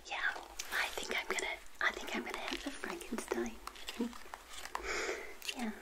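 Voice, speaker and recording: An older woman whispering a gentle, playful ASMR roleplay as a witch hostess, speaking straight to the listener in a breathy voice. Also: mid-range voice, very animated, unhurried.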